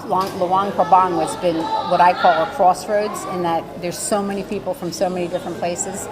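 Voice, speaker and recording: A woman's voice: on the low side, fairly even intonation, moderate pace, noisy background. A woman chatting animatedly in an interview, telling a story. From United States. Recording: public space with crowd and music.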